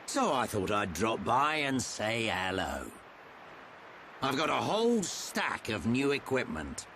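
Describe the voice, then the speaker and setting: A gruff old trader. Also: male voice, mid-range voice, big pitch swings, slow pace, bright, forceful tone, slight background noise, exaggerated voice. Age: older. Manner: gruff, teasing, colloquial. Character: gruff older male trader and fighter. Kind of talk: video game cutscene acting.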